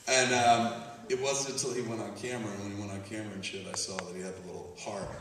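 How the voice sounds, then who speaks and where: A man tells comic stories as a live podcast host, slangy and profane, slipping into quoted back-and-forth. His voice carries through a heavily reverberant hall, picked up from a distance. An audience is clearly audible around him. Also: fairly low pitch, little pitch movement, fast, crowd noise. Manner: profane, slangy storytelling with acted-out dialogue. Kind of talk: comic live conversation, anecdotes. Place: large campus hall, live before an audience. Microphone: handheld audience camera, far from speaker. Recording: distant echoey hall recording with audience noise.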